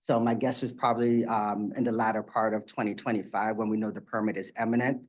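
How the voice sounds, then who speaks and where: A man's voice: on the low side, level tone, fast. A man presenting development plans at a community meeting, explaining steadily with frequent fillers. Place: meeting room.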